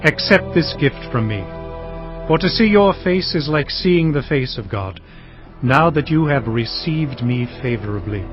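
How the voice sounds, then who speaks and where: Casting: male actor for courteous, placating biblical herdsman, medium-pitched, expressive, deferential, audio drama. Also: a little slow, close to an everyday voice. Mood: humble gratitude. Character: humble, courteous herdsman father placating his brother. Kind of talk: dramatized audio Bible acting. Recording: dull sound, light music behind.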